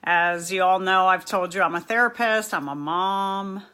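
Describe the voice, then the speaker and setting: A woman's voice: fairly low pitch, steady tone, normal speed, bright, forceful tone, clear, resonant tone. A middle-aged woman, a therapist from New York and Miami, hosting a casual live stream, chatty and poking fun at herself while greeting viewers by name.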